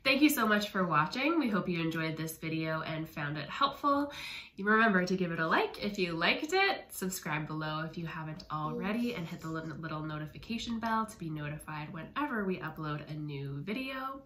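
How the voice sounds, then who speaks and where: Lowish-voiced woman vlogging in an echoey room. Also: animated, fairly quick, sharp tone. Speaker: vlog host. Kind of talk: casual family vlog chat. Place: indoor room at home. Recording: echoey room with clear background noise.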